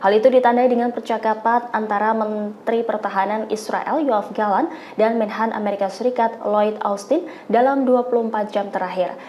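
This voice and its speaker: A woman's voice: on the high side, steady tone, normal speed, highs cut off. Woman reading news, faint noise. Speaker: news narrator. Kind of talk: scripted news narration.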